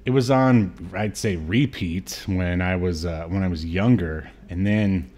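A man around forty co-hosting a casual film discussion, rambling and halting as he thinks aloud. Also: low voice, a little mellow. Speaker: podcast co-host. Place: indoor room on a video call stream.

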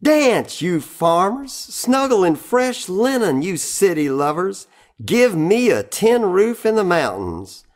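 A man giving an expressive poetry reading, with dramatic and deliberate phrasing. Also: high voice. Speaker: poetry reader.

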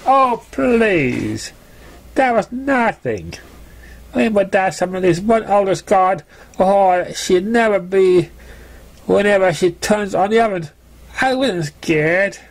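A man's voice: high voice, animated, slow pace, voice pushed up, full character voice. A man voicing a cocky, careless young female pegasus pony in a storybook reading, brash and boastful.

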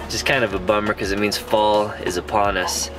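Male camping vlogger, thirties, lowish pitch, casual to-camera chat over background music. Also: flat delivery, brisk. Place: inside a camper van and outdoors at a forest campsite. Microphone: camera held at arm's length.

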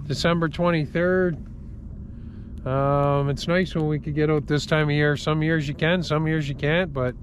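A middle-aged man with a raspy voice, feeling under the weather, chatting casually to camera as a fishing vlogger on an open boat. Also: on the high side, a little hiss or hum. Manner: upbeat, chatty, lots of fillers. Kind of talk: casual vlog chat to camera. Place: open boat on a lake, outdoors.

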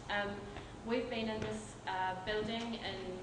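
A woman's voice: mid-range voice, fairly even intonation, slow. A woman giving an informal company presentation at a tech meetup, hesitant, with frequent fillers and restarts. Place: event venue with an audience. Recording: echoey room from far off, noisy.

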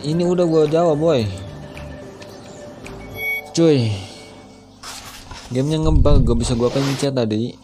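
A man's voice: a little high, slow, long pauses. Young Indonesian man, game music behind. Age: young adult. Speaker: gaming YouTuber. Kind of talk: casual gameplay commentary. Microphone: headset.

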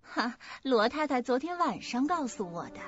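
An actress playing a haughty young wife in a radio drama, scornful and scolding. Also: female voice, high voice, very animated, normal speed. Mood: accusing. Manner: sharp, scolding. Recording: dull lo-fi audio, faint music.

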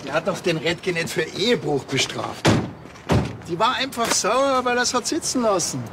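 An actor playing a sceptical middle-aged Bavarian police officer in a TV crime comedy, grumbling dryly in colloquial speech. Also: male voice, sits high, normal speed, very noisy. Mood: irritated. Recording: loud music behind dialogue.

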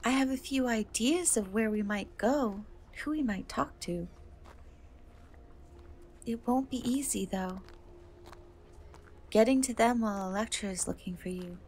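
Woman acting a gentle, slow-spoken half-cat girl. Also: a little high. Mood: reassuring. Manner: hesitant, soft-spoken, often slipping into whisper. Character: gentle half-cat young woman, kind, timid, reassuring. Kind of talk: dark fantasy ASMR roleplay, soft intimate acting. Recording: noticeable background noise and ambience.

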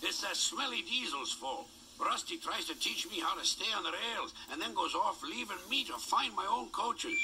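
A man's voice: high-pitched, expressive, moderate pace, few pauses, pushed and bright, slight background noise, pitched above the performer's own voice, heavily acted, low-quality recording. A man voicing a cocky, grumpy male steam engine who speaks bluntly and crossly, in a children's storybook show.

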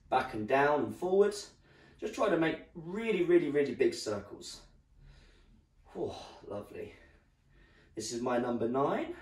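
A young man with a croaky voice, leading an online home workout as a fitness instructor and giving encouraging instructions while he moves. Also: medium pitch, darkish tone. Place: living room at home. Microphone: far camera. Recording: roomy sound from far off.

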